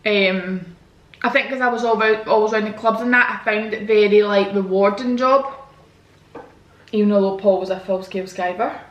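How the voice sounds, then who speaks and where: A woman's voice: mid-range voice, normal speed, dull audio. Chatty young woman, echoey room. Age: 20s. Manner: chatty, frank, slangy. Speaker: vlogger. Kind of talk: casual chat answering viewer questions. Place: home, indoors. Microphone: camera mic.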